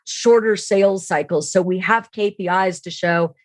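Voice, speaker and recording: A woman's voice: medium pitch, moderate pace, no echo. A woman speaking as an expert interview guest, explaining her points conversationally with emphasis on key words. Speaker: podcast guest, sales trainer and keynote speaker.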